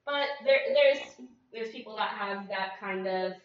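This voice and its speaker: A woman co-hosting a podcast, chatting animatedly in a high-pitched voice. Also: unhurried. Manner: animated, bursts into song snippets. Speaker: podcast co-host. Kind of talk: casual chat. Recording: echoey, far from mic, dull lo-fi, faint hiss.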